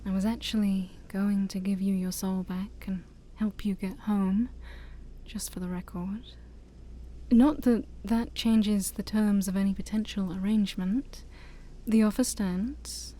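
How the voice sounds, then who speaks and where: A woman playing an ancient demon princess, haughty yet shy, in a soft-spoken ASMR roleplay that often drops to a whisper. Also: mid-range voice, fairly even intonation, unhurried, natural voice. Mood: defensive, persuasive. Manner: formal, teasing, hesitant, sighing.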